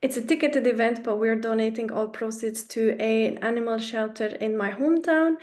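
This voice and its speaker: A woman's voice: a little high, moderate pace, darkish tone. A young woman from Romania leading an online workshop, chatty and self-effacing, with many fillers and restarts. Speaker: workshop facilitator.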